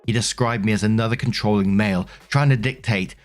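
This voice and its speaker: A man with a fairly low voice reads stories aloud as a host. He comes across as conversational and speaks straight to his listeners. Soft music plays faintly behind him. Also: brisk. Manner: conversational, addresses listeners directly. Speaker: host narrating Reddit stories. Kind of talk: reading stories aloud with casual commentary. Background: background music.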